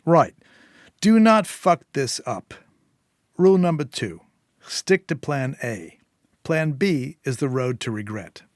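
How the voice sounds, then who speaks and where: Male narrator as storybook crime fixer: cool, confident, menacing, deliberate and sardonic, dry close sound. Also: medium pitch, lively intonation, no echo, pitched above the performer's own voice. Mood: stern warning.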